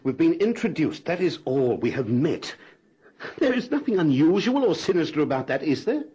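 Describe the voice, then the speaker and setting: An actor playing a direct, talkative, self-assured suitor in a radio drama, teasing and persistent. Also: male voice, fairly high pitch, sing-song, normal speed, warm tone, husky, heavily acted. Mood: indignant. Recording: dull lo-fi old radio recording.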